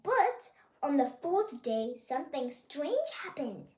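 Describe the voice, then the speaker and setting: A storyteller reading a picture book aloud for children, expressive and pointing things out to young listeners. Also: sits high, slow pace, reverberant. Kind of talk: animated picture-book read-aloud for kids.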